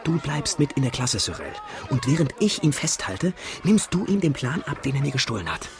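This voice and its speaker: An actor in a radio drama playing an excitable, earnest schoolboy with a husky voice. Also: male voice, mid-range voice, a little fast. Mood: conspiratorial, commanding.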